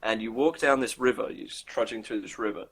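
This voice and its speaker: A man's voice: on the low side, brisk, fairly bright tone, breathy edge. A man co-hosting a casual, comedic video game podcast, acting out imagined dialogue and swearing freely. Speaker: podcast co-host. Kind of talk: casual comedic chat about video games.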